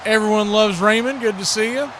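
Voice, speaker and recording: A man's voice: sits high, brisk. A man doing live football play-by-play in a folksy way, chatting to viewers between plays. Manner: folksy, excitable on big plays. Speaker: radio play-by-play announcer. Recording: clear background noise.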